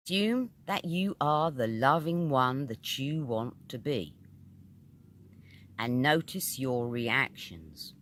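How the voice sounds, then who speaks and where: British male narrator for an instructive audiobook: slow, measured delivery, mid pitch with lively intonation. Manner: measured, deliberate, instructive, with pauses between short phrases. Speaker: audiobook reader. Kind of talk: scripted narration of a metaphysical article.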